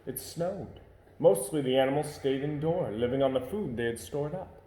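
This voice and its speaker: A man reading a children's storybook aloud at home, with an expressive, varied delivery. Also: mid-range voice, normal speed, echoey room, a little hiss or hum, low-quality recording.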